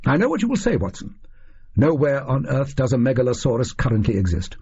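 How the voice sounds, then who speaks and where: A man voicing a brisk, eccentric, self-assured Victorian detective with an English accent and a pedantic, emphatic manner in an audio story. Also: mid-range voice, wildly swooping pitch, mellow, breathy edge, muffled recording, full character voice. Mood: lecturing, eager.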